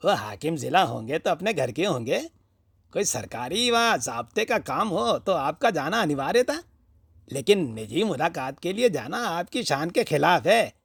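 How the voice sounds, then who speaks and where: A male narrator acts out an indignant male friend in a storybook tale. The voice is raised and husky, emphatic and mocking, full of scornful advice, in a dry recording. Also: fairly high pitch, dry recording.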